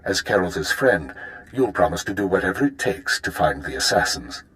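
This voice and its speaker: An actor playing a calm, calculating imperial intelligence handler in a video game, briefing formally and in measured phrases. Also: male voice, sits low, low-quality recording. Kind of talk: dramatic video game acting.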